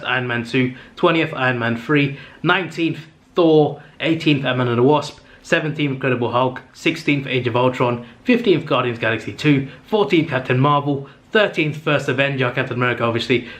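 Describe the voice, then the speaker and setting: A young man hosting his own channel, chatting enthusiastically through a casual ranking, full of fillers and quick self-corrections, his voice a little strained as he says he is losing it. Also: medium pitch, a bit bright. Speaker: movie review channel host. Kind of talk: casual ranking monologue to camera.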